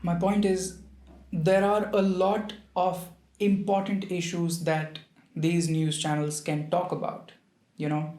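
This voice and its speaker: A man's voice: high voice, flat delivery, takes it slowly, darkish tone. A man with an Indian accent giving an earnest, informal opinion monologue straight to his viewers. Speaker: video creator, commentator. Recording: roomy, echoing sound.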